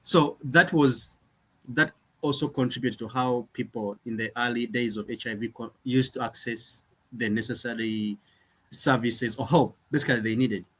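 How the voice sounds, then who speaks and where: A man's voice: medium pitch, steady tone, normal speed, fairly bright tone, husky, low-quality recording. A young man from Uganda, a nonprofit founder talking earnestly and reflectively in an interview, often restarting his sentences. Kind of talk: conversational interview.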